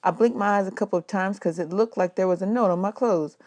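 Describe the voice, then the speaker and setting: A woman reading a children's novel aloud as a teacher would, in an expressive storytelling style. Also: mid-range voice, fast talker. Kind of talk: expressive audiobook read-aloud.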